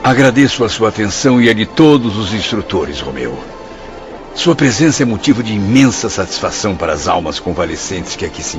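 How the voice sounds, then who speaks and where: An actor playing a melancholy, courteous writer spirit in an audio drama, speaking in a formal, earnest, literary way. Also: male voice, fairly low pitch. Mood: wistful, grateful. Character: Camilo, melancholy, courteous convalescent writer spirit, male narrator. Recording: dull audio with music behind.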